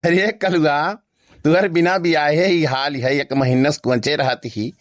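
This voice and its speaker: High male voice, Awadhi accent, grumbling older villager, teasing, cartoon comedy, dry close sound man acting it out. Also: steady tone, a little slow, dry recording, natural voice.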